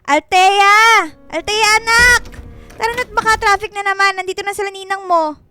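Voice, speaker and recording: A woman's voice: very high-pitched, steady tone, moderate pace, over loud music. A woman playing a fussy, affectionate Filipina mother who nags and exclaims, in a radio drama. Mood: scolding.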